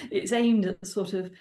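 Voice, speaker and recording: A middle-aged woman from South Wales with a Welsh accent, a native Welsh speaker, chatting as a guest in an interview, with frequent ums and laughs. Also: fairly high pitch. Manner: warm, chatty, laughs often, many ums. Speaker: guest author. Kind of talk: casual interview chat.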